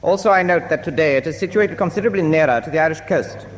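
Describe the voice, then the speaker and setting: In a reverberant space with faint noise, a man plays a suave German meddler in a war drama. He speaks quickly, with formal, falsely deferential politeness that insinuates more than it says. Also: on the high side, natural voice. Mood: silkily polite. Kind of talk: full-cast radio drama acting.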